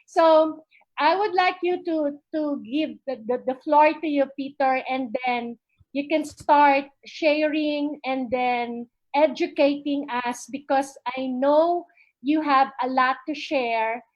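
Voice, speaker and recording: A woman's voice: high-pitched, takes it slowly, dull audio. A woman hosting an online business event, introducing the speaker in a chatty way with many hesitant fillers. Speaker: event host.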